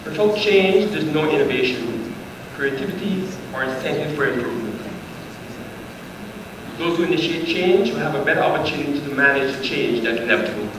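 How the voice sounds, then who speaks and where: A man from Barbados gives a conference presentation in a friendly, conversational way, with a touch of self-deprecation. His voice comes from well back from the mic. It booms around a big echoey hall, with a clear wash of noise underneath. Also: expressive, brisk, far from the mic. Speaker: guest speaker.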